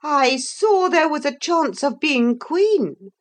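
High-pitched bitter servant woman, scornful, defiant, storybook villainess, as a woman reads her. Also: big pitch swings, slow pace, full character voice. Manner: scornful, fierce, tearful outbursts.

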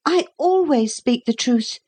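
Storybook young boy, performed by a woman: high, proud, politely formal, bravely indignant, with swooping intonation. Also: lively intonation, measured pace, darkish tone, slightly rough, full character voice. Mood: proud, earnest.

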